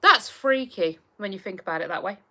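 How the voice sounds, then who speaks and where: A woman's voice: mid-range voice, animated, fairly quick, bright, forceful tone. An English woman in her thirties hosting a livestream, chatting casually and reacting to viewer comments. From England. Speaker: livestream host.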